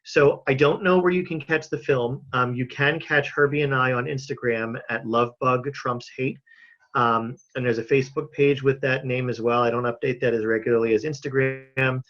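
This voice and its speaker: A man talking earnestly and casually as an interview guest on a video call from home, thoughtful and halting with emphatic pauses. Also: mid-range voice, fairly even intonation, a bit bright. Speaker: interview guest, mental health advocate and filmmaker. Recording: slightly dull video-call audio.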